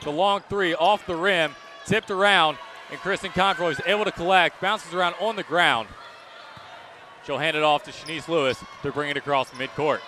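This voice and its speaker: A young man calling live basketball play-by-play for radio in a projected, excited manner, recorded in an arena. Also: fairly high pitch, moderate pace. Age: young adult. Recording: gym noise behind radio commentary. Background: court noise, bouncing balls.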